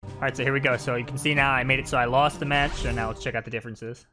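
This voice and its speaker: A man's voice: mid-range voice, fairly even intonation, very fast, pushed and bright, soft background music. A man doing casual live commentary on video game footage, talking in an informal way.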